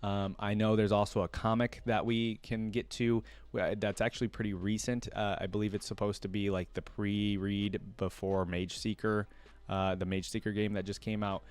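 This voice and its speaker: A man's voice: lowish voice, flat delivery, moderate pace, smooth. A man hosting a reaction video, commenting casually and informally as he thinks aloud.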